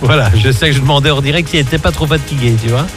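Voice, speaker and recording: A man's voice: medium pitch, quick pace, music in the background. A man hosting a live local radio show from a studio, chatty and laughing often. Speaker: radio host. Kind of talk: casual live radio chat.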